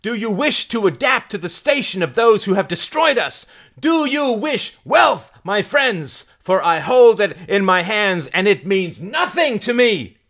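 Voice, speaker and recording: A man's voice: high-pitched, very animated, unhurried, a bit bright, pitched above the performer's own voice, exaggerated voice. A man voicing a fiery, defiant rebel woman who preaches to rouse a mob in declamatory audiobook oratory. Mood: challenging, preaching. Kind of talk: audiobook character acting.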